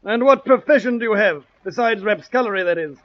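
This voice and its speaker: An actor playing a haughty, foppish colonial governor, pompous and drily sarcastic, in old-time radio comedy-drama style. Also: male voice, high-pitched, animated, fairly quick. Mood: indignant, imperious. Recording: dull, muffled old radio recording.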